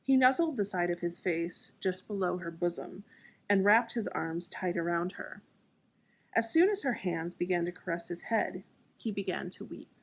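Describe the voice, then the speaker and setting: Husky woman reading a story. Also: lowish voice, expressive, normal speed. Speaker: author and narrator. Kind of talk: scripted audiobook narration.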